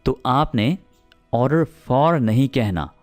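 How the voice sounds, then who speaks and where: Slow, lively Pakistani English teacher. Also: male voice, medium pitch, soft background music. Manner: explains patiently, repeats example sentences slowly and checks in with "theek hai?". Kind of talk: spoken English lesson.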